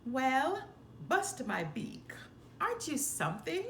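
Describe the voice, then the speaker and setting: Woman as a fussy storybook owl, pompous, grandiose, very lively pitch, roomy sound. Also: slow pace, slight background noise, exaggerated voice. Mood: impressed, pompous. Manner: grandiose, pronouncing.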